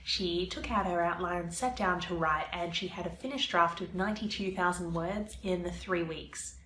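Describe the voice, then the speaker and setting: A young woman talks through her writing plans, her nose stuffy from a cold. Her voice sits fairly low and stays chatty as she explains each step. The room is echoey, with a faint hum behind her. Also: fairly even intonation, normal speed, a bit bright. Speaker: vlogger, aspiring fiction author. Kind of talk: casual vlog talk to camera.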